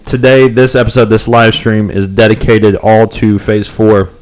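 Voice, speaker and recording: Smooth low-voiced man with a cold. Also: normal speed, poor audio. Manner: relaxed and rambling, with many ums and restarts. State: voice cutting in and out from a cold. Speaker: co-host of a fan podcast live stream. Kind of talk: casual live-stream chat.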